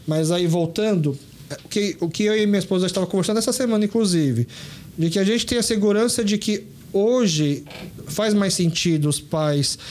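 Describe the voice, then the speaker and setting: A man in his thirties talks at a relaxed podcast pace, looping through anecdotes and restarting his sentences often. His voice sits a little higher than most men's. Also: normal speed, a little hiss or hum. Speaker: podcast host. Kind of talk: casual chat.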